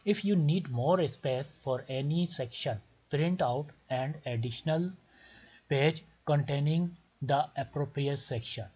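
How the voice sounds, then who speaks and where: A man from Pakistan, a native Urdu speaker with a Pakistani accent, explains step by step as a tutorial presenter, deliberately and in short, repeated phrases. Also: a little high, warm tone, breathy edge. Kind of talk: step-by-step instructional screen tutorial. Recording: muffled lo-fi voice recording.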